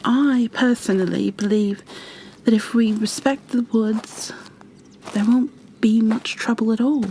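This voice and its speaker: A woman playing a kind, flustered young bookkeeper in a fantasy ASMR roleplay, speaking softly and breathily, often near a whisper, with gentle hesitations and apologies. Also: on the high side, fairly even intonation, a little slow, a little hiss or hum, low-quality recording. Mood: gentle, wry. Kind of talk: ASMR roleplay, addressed to the listener.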